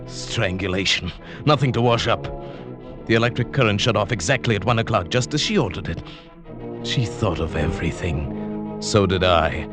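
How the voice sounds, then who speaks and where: An actor playing a scheming professor who narrates his own wife-murder, in a smooth, quietly gloating 1940s radio drama style. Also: lowish voice, moderate pace, close to an everyday voice. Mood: cold narration. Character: scheming middle-aged male professor, cold wife-murderer. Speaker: narrator and lead character. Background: music.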